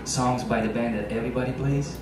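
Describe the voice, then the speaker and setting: A man's voice: mid-range voice, steady tone, brisk, noisy background. A man in his thirties, a native Italian speaker, chatting and joking with the audience between piano pieces. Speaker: pianist entertainer. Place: small ship lounge stage, indoors. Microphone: handheld mic, filmed by far camera. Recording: echoey lounge from far off.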